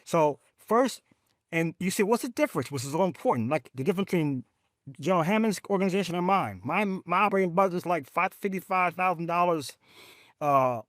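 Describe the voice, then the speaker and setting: A man with a slightly high voice talks in a podcast conversation about veterans' causes, in a dry, close recording. He tells stories with emphatic, animated drive and often speaks of faith. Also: a little fast, dry recording. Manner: rising pitch when excited. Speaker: veteran advocate guest.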